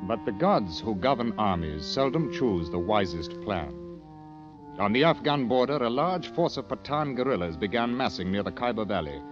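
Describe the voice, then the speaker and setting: An actor playing a war correspondent who narrates an army adventure in an old radio drama. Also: male voice, lowish voice, big pitch swings, moderate pace. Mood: grave storytelling. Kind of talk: scripted 1940s-50s radio drama narration. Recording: dull old radio recording. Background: music.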